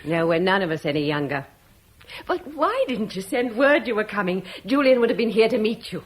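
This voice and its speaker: Brief: slightly breathy, chatty Roman-era wife, very lively voice. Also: female voice, mid-range voice, fairly quick. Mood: gracious. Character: warm, chatty middle-aged Roman-British wife. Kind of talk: 1960s BBC radio drama acting.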